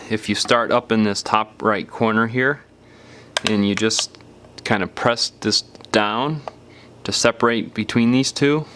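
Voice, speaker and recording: Man, lowish pitch, casual step-by-step repair instructions, unscripted how-to narration. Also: a bit bright, a little hiss or hum. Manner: casual step-by-step explaining, trailing off mid-phrase. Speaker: repair tutorial presenter.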